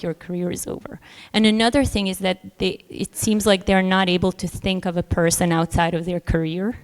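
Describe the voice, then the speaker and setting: A Bosnian woman novelist answering on a festival panel, thoughtful and hesitant, with many pauses and small laughs between points. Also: fairly low pitch, smooth. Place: festival hall with long reverb. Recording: hall reverb, live panel.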